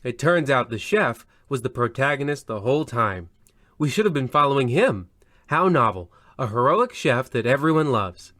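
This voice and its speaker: A man playing a pompous, melancholy radio horror host, arch and deadpan, in an old-time parody style. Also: mid-range voice, moderate pace, dry recording, studio quality, lightly acted. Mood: wry. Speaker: host narrator.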